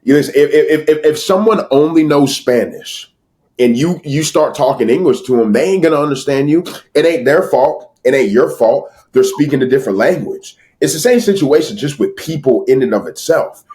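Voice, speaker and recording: A man's voice: on the high side, lively intonation, moderate pace, a little mellow. American man, emphatic casual podcast talker. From United States. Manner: emphatic, repetitive, slangy, addresses listener as bro. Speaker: podcast guest, sales entrepreneur. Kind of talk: casual motivational interview talk.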